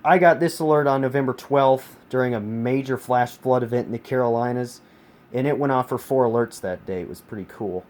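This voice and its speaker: A man's voice: medium pitch, a little fast, a little mellow. A man from the United States giving a casual, emphatic hobbyist product review, repeating words for stress. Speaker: collector reviewing his weather radios. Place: carpeted room at home. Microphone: handheld phone camera, speaker off screen. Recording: noisy home phone-camera recording.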